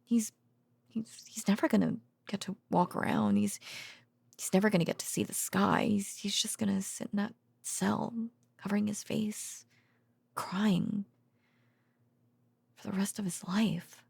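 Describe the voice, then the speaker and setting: A woman playing a tender, worn-out researcher girlfriend in an intimate bedtime audio roleplay, speaking haltingly and drifting into whispers. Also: mid-range voice, fairly even intonation, creaky, darkish tone, husky, no echo, exaggerated voice. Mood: sad, pitying.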